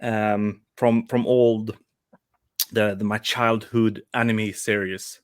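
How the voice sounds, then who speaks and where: Man in his forties, low dry voice. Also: little pitch movement, slow pace, no echo. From Sweden. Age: 40s. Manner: hesitant, many restarts. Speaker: guest, toy customizer. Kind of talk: casual live-stream chat.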